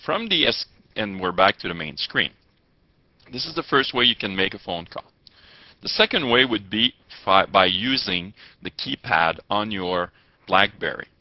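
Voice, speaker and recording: A man giving step-by-step tutorial narration as an instructor, with halting delivery and formal wording. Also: on the low side, sing-song. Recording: muffled, echoey lo-fi room recording.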